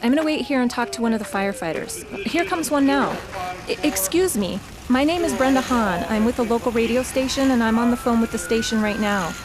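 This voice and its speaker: A woman playing an excited radio journalist phoning in a live report from the roadside in a radio drama. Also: on the high side, fairly quick, lightly acted. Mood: tense, curious. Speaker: on-scene radio caller. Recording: noisy outdoor phone call with vehicle ambience.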